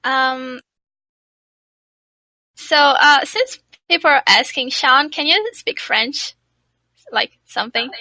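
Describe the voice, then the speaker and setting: Female moderator, high and slow-spoken, hesitant when asking questions. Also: expressive, sharp tone, no room sound, poor audio. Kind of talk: reading out questions on a voice call.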